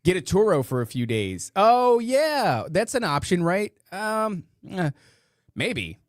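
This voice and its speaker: A man with a rough voice hosting a podcast, chatting casually in rambling, slangy, profane talk to a live audience online. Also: high voice, sing-song, slow, dry recording, studio quality. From northeastern United States. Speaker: podcast host. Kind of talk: casual live chat, reading listener comments.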